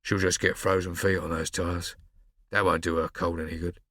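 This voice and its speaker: A man voicing a weary middle-aged detective who talks in a gloomy, measured way. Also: deep voice, level tone, sharp tone, dry recording, clean studio sound.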